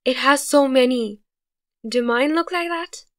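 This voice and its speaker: A woman voicing a frightened, excitable teenage boy who talks in a hysterical, high-strung way. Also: a little slow, vocal fry, no room sound, studio quality, exaggerated voice.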